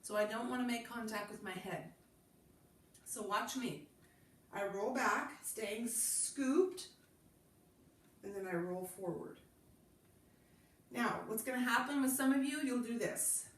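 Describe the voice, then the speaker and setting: A woman with a stuffy head from a cold, calmly leading a Pilates class and cueing each movement while she demonstrates. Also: fairly high pitch, takes it slowly, pauses often, roomy sound, far from the mic, slight background noise. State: congested from a cold. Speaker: Pilates instructor. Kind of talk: guided exercise class instruction.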